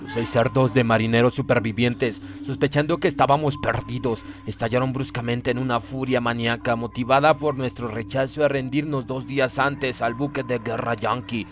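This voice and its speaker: A man's voice: mid-range voice, steady tone, moderate pace, few pauses. A man reads a horror story aloud as an audiobook narrator, in dramatic scripted narration. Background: background music.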